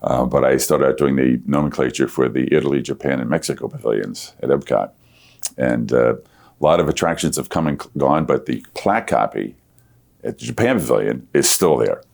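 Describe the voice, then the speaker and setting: Male, 60s, bottomless bass with heavy fry. Easygoing storyteller, casual interview guest. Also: expressive, a little fast, a little mellow. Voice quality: very deep radio voice. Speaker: interview guest, veteran themed entertainment creative. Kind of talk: casual reminiscing in a live interview.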